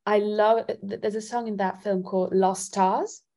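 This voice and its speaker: A woman's voice: mid-range voice, fairly even intonation, unhurried, vocal fry, warm tone. A woman from Italy chatting casually and a little giggly as an interview guest, feeling her way through her phrases. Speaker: interview guest, singer-songwriter.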